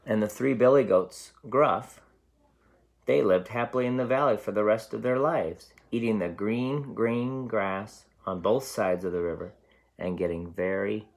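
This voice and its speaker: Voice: medium pitch, a little slow, gravelly. A storyteller reading a picture book aloud expressively for young children.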